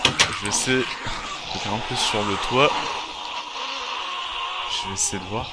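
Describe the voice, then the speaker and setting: A man's voice: on the low side, steady tone, measured pace. A man improvising first-person survival roleplay commentary for a game, thinking aloud in a colloquial, hesitant way. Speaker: gamer, roleplay series creator. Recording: very noisy, loud game ambience. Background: loud ambient noise.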